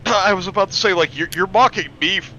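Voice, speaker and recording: A man's voice: sits high, fast, pushed and bright. A man in casual banter as a co-host, recorded on a muffled, lo-fi mic with noise behind him.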